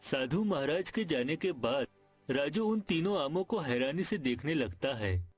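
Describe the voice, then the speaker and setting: A man's voice: fairly high pitch, big pitch swings, measured pace, husky, soft background music. A man narrating a scripted children's story.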